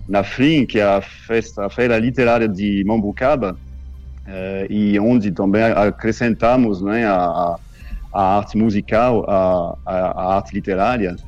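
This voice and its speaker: A French-born man with a fairly low voice speaks as a guest musician on a radio show. He talks haltingly, full of restarts and fillers. The sound is dull and lo-fi, with faint music behind him. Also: darkish tone. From France. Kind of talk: radio interview answers.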